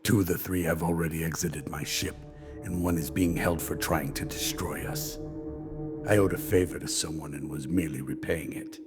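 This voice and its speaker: A man playing a stoic starship captain in a radio drama, speaking in a measured, formal, firm way. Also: very deep voice, fairly even intonation. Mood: cool defiance. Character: stoic, hard-bargaining male starship captain. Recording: loud music behind the voice.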